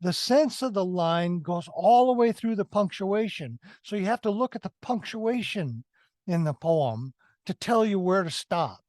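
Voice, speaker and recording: A man co-hosting a review discussion, explaining his views casually and haltingly, often repeating and correcting himself. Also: sits high, animated, a little mellow, no room sound, clean studio sound. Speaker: podcast co-host reviewing films.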